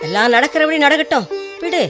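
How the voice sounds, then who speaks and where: A woman playing a middle-aged, worried and reproachful mother in a Tamil radio drama, expressive and admonishing. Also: sits high, fairly quick. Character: worried, reproachful mother of a hot-headed son. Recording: faint music bed under the voice.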